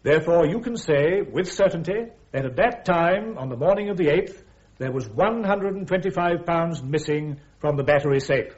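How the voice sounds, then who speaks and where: An actor playing a brisk army major prosecuting at a court-martial in a radio drama, with a British accent and formal, methodical questioning. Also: male voice, a little high, animated, natural voice. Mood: brisk, probing. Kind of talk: full-cast radio drama acting. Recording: dull, muffled radio recording.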